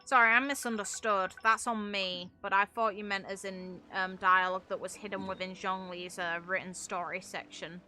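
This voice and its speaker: Bright-voiced sweary woman game streamer. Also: medium pitch, fairly even intonation, normal speed, hardly any pauses. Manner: sweary, blunt. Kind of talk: casual live-stream chat while gaming. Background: video game music.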